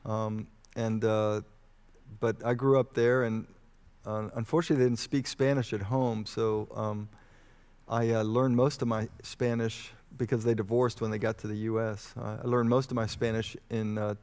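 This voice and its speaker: A man in his fifties from Texas answering as a medical expert in an informal interview, speaking in a measured, hesitant, explanatory way. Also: fairly low pitch, flat delivery, a little mellow, smooth. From Houston, Texas, United States. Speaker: medical expert guest, vaccine researcher. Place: on stage in a church auditorium. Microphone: headset mic.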